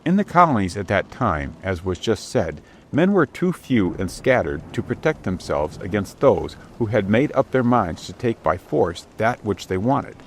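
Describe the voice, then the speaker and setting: A man's voice: fairly low pitch, big pitch swings, normal speed, hardly any pauses, a little hiss or hum. A man narrating an old adventure storybook in a scripted, dramatic reading style. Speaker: audiobook narrator. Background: outdoor ship ambience.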